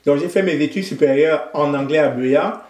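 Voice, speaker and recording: A man from Cameroon, a guest in an interview, speaking in a thoughtful, measured way with frequent hesitations. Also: fairly high pitch, darkish tone, reverberant. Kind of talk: conversational interview.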